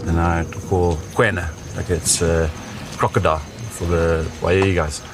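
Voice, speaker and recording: A man with a deep voice tells expedition stories off the cuff. He is halting but vivid, repeating words for effect. Water and outdoor sounds are clearly heard behind him. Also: flat delivery, background noise. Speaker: expedition leader recounting experiences. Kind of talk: spontaneous first-person storytelling. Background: water and outdoor ambience.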